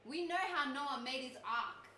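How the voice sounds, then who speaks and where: A woman's voice: high-pitched, normal speed, sharp tone, roomy sound, a little hiss or hum. A woman in casual group chat who makes her points insistently, with many fillers.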